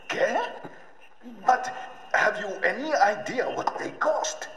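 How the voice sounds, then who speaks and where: Oily, animated lab doctor. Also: male voice, high-pitched, slow, exaggerated voice. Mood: fawning. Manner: fawning, over-polite. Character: obsequious, oily male lab doctor, greedy. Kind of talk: video game cutscene acting. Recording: echoey reverberant hall.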